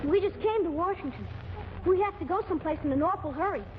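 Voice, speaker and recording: An eager little boy in an old radio comedy, piping very high. He talks in earnest, slangy bursts, full of brash confidence. The sound is thin and phone-like, with clear noise over it. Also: animated, fast talker. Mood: excited, curious. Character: eager, brash little boy visiting Washington, streetwise kid. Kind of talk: 1940s radio comedy acting. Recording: tinny, noisy 1940s radio recording.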